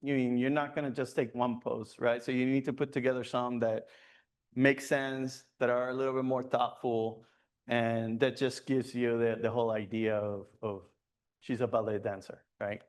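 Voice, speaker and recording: A man speaking at a club meeting in a loose, conversational way, often stopping and restarting with fillers. His voice is smooth and clear at a middle pitch. It sounds like a relaxed talk in a meeting room. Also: moderate pace. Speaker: guest speaker at a photography club. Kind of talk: presentation with audience questions.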